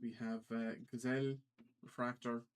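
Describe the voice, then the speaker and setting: A man with a medium-pitched voice hosts a casual trading card livestream in a put-on Irish accent. He calls out items in a halting, stop-start way and often repeats his phrases. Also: level tone, smooth. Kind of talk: casual livestream commentary, calling out cards.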